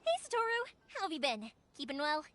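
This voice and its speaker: An actress voicing a cheerful, energetic young fireworks maker in a video game, bubbly and reassuring. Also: female voice, high-pitched, fast, exaggerated voice. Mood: upbeat. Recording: music behind the voice.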